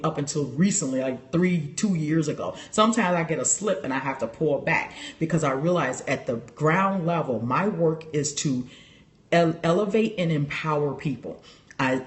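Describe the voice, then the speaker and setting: A woman hosting a casual livestream on her phone, animated and laughing often as she talks straight to her viewers. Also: sits low. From Chicago. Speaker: livestream host. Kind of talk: casual personal talk to viewers.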